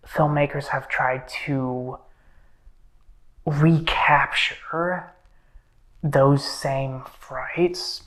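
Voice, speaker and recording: A man playing a menacing, philosophizing horror villain in a monologue, halting and brooding, drifting between speech and breathy whispers. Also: a little high, a bit bright. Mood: grim, disdainful.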